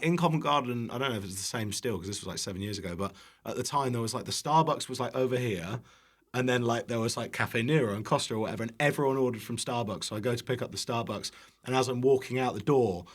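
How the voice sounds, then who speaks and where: A man's voice: mid-range voice, fast. A young British man telling anecdotes casually as a podcast guest, rambling, breaking off mid-sentence and acting out what people said. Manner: rambling, self-interrupting, acts out quotes. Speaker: podcast guest, online cook.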